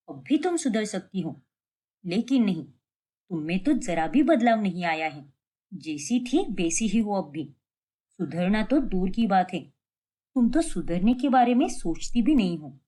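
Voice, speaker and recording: A woman voicing a calm, righteous elder sister-in-law in a cartoon family drama, speaking in a measured, admonishing way. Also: mid-range voice. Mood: disapproving.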